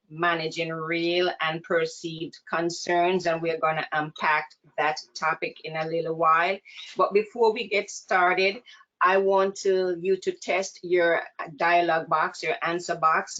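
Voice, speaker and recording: Woman, Jamaican accent, low voice, earnest measured webinar presenter, dull video call sound. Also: little pitch movement, a bit bright. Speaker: counseling presenter.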